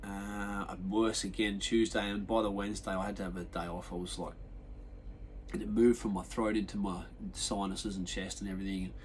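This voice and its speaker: A man's voice: on the low side, flat delivery, normal speed, a little hiss or hum, low-quality recording. Congested Australian man rambling on a podcast. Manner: rambling, laid-back storytelling with frequent ums and restarts. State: nasal and chesty from a sinus infection. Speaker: podcast host. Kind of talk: casual solo podcast chat.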